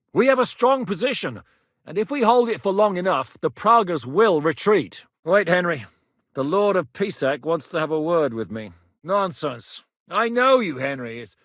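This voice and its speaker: An actor playing a gruff, blunt medieval war captain who shouts battle orders. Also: male voice, high voice, dry recording, clean studio sound, heavily acted. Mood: shouting orders. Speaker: military commander. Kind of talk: game voice lines.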